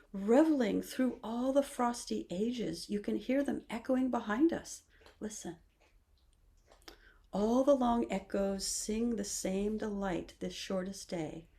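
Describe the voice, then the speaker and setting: A woman reads a poem aloud in a measured, lilting cadence. She lets each line land slowly, at a medium pitch. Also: dark tone. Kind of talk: poetry recitation.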